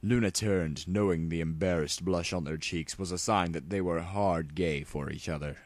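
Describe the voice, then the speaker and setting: A man narrates a comic story in a fairly low voice. He is mock-dramatic and wry, slipping between reading and his own commentary. The recording is dry, with little room sound. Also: moderate pace, dry recording.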